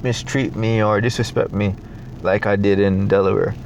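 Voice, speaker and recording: A young adult man from Jamaica with a Jamaican accent, talking in a reflective, halting way with many fillers as a guest in a casual interview. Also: lowish voice, steady tone, creaky, darkish tone, a little hiss or hum, poor audio.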